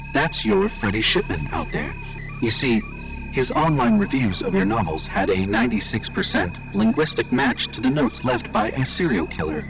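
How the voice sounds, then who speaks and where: Man voicing a sinister, calm, low-pitched AI assistant. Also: gravelly, heavily acted, poor audio. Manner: measured, matter-of-fact, unhurried menace. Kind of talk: audio horror fiction acting.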